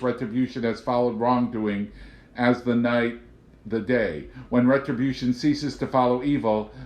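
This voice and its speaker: An exasperated middle-aged patriot of an agent, acted out by a male reader, preaching forcefully in audiobook style. His pitch pushes up above the narration and he gets loud, in a room with noticeable echo. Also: lightly acted. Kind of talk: audiobook character voice. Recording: roomy, echoing home recording.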